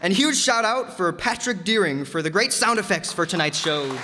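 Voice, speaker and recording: A man's voice: high voice, animated, normal speed, bright, forceful tone. A man announcing cast credits in old-time radio style to an audience in a town hall. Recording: echoey hall, crowd, noisy background.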